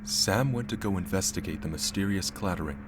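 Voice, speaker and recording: An actor playing a droll, tongue-in-cheek storyteller, narrating a comic audio play in a dramatic style. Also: male voice, sits low, a little fast, heavy background noise, close to an everyday voice. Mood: hushed suspense. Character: droll, mock-epic male storyteller.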